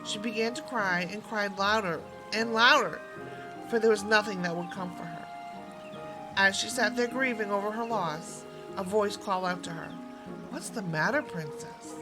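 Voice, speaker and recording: A woman narrates a fairy tale as a storyteller, reading expressively from a script. Her voice sits at a medium pitch, with music clearly playing behind it. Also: normal speed, poor audio.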